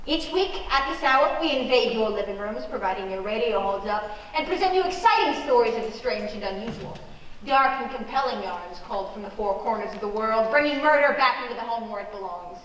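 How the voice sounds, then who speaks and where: Give this the sound of a droll suspense anthology host played by a woman, high in pitch and theatrically mock-ominous. The delivery is scripted old-time radio, heard at a distance in a very echoey hall. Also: expressive, fairly quick, a bit bright, a little hiss or hum. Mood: ominous welcome.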